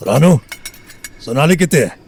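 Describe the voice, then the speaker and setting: Man playing gruff, hot-tempered small-town father. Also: fairly high pitch, animated, a little slow, a little mellow, exaggerated voice. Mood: suspicious. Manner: gruff, threatening, rustic speech. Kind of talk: Hindi audio story drama. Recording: background music under the voice.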